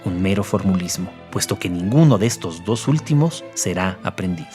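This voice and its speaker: A man with a fairly low voice reads scripted true-crime narration. There is faint music behind him as he tells the case as narrator. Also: expressive, moderate pace, warm tone.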